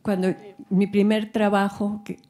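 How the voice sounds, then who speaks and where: A woman runs a public question session. She cuts in briskly and teases a little as she steers the talk. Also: mid-range voice, flat delivery, mellow. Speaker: moderator. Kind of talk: moderating a public Q&A.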